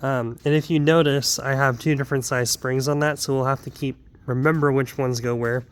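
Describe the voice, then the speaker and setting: A man's voice: medium pitch, steady tone, a little fast, clear, resonant tone. A young man, a little sick and wheezy, talking casually through his car work in a garage vlog with lots of ums and side remarks. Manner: rambling, lots of ums and asides. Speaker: car hobbyist vlogger. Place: home garage.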